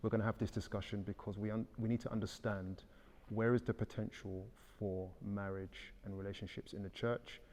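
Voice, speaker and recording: A man's voice: fairly low pitch, level tone, a little fast, a little mellow. A British man hosting a casual panel discussion, upbeat and conversational, asking questions. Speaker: podcast host. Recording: hall reverb.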